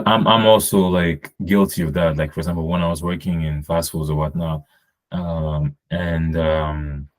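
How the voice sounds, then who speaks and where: Podcast guest, male, very deep voice, French native speaker, meandering casual delivery. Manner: rambling, many fillers and restarts. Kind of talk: casual conversation.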